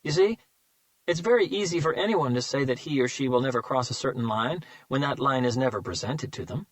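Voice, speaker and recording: A man's voice: mid-range voice, animated, dry recording, lightly acted. A man voicing a smug male serial killer who mocks and savors his words, in an audiobook reading. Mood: smug, taunting. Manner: mocking, slow and savoring.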